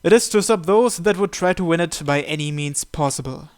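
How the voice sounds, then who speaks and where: A man's voice: fairly high pitch, a little fast, smooth. A man hosting a visual novel playthrough, reading the first-person narration aloud.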